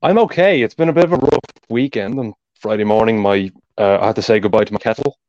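Deep-voiced Irish podcast host. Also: male voice, darkish tone, no room sound, lo-fi sound. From Ireland. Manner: talks fast, explains tactics at length. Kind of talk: casual football podcast chat.

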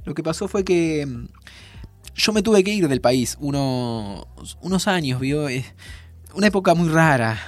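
A man's voice: a little high, lively intonation, unhurried, dry recording, faint music behind. An actor playing a flustered, tender man in an audio drama, with an Argentine accent and a hesitant, stammering delivery. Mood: nervous, hesitant. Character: flustered, tender man visiting his own future. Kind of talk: naturalistic audio fiction acting.

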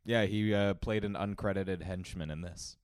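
Young man, smooth resonant voice, lowish pitch, dry close sound, joking opinionated podcast co-host. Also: fairly even intonation, normal speed, dry recording. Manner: joking, opinionated, laughs often. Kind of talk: casual movie-review chat.

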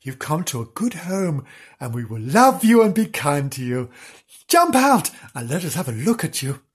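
A storyteller voicing a gentle young girl in a children's story who gets bossy and fussy. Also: mid-range voice, very animated, unhurried, breathy edge, voice pushed up. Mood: soothing, exasperated. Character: gentle, fussing child Gillian, bossy when annoyed.

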